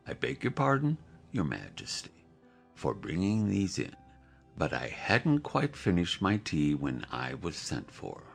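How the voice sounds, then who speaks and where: A man's voice: sits low, huge pitch swings, unhurried, creaky, faint music behind, full character voice. A man playing a nervous, muddled poor hatter in an audio drama, rambling and apologetic.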